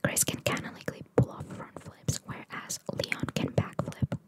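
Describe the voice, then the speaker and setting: Whispering woman, chatty ASMR reading. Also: normal speed. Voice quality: breathy, unvoiced whisper. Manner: informal, chatty, reacting to what is read. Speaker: ASMR host reading video game trivia.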